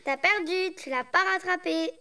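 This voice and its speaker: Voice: very high-pitched, moderate pace, a little hiss or hum, exaggerated voice. A performer voicing a playful, teasing, slightly bossy little girl bear cub in a preschool cartoon. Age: child character. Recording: loud music behind the voice.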